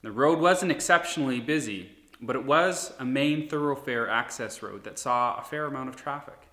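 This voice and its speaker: A pastor in his thirties delivers a sermon in an earnest, steady way. His voice is bright and forward, at a middle pitch for a man. The recording carries a noticeable room echo. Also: moderate pace. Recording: echoey room.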